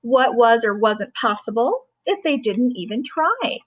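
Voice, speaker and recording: A middle-aged woman, a teacher, reading a rhyming storybook aloud in a gentle, sing-song way. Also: a little high, very animated, measured pace, slightly rough.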